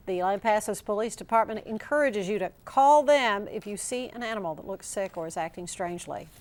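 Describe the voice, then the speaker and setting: A woman's voice: mid-range voice, fairly quick, darkish tone. A woman reading scripted television news as an anchor in a studio.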